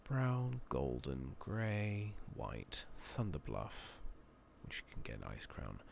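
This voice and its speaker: A soft-spoken man giving hushed ASMR commentary on a game playthrough, murmuring and musing aloud in an unhurried way. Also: a bass voice, huge pitch swings, creaky, a little hiss or hum. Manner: hushed, murmured, sometimes slipping into whisper. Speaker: gaming channel host.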